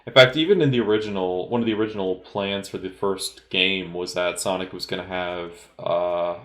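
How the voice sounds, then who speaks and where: Low-voiced man, hesitant and rambling. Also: fairly bright tone, roomy sound. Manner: hesitant, rambling, halting delivery. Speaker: film commentator. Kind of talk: informal solo movie commentary.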